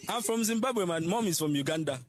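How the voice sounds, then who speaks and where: A man's voice: sits high, lively intonation, fairly quick, over loud music. A man in a radio comedy skit, evasively insisting, laughing as he speaks.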